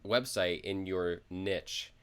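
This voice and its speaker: Deep-voiced enthusiastic podcast host. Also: male voice, slow pace, a bit bright, smooth. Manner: enthusiastic, steering the conversation with questions. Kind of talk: casual podcast discussion.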